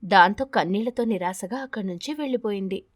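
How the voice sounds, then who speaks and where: A woman storyteller reading scripted narration for animated children's moral stories. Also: medium pitch, quick pace, dry recording, clean studio sound.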